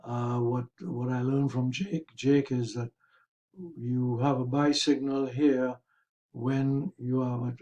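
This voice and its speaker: Male market commentator, dark mellow tone, a little raspy and hoarse, careful hesitant explanatory delivery. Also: medium pitch, lo-fi sound. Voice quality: slightly raspy. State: hoarse, throat-clearing. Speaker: host of a stock market review.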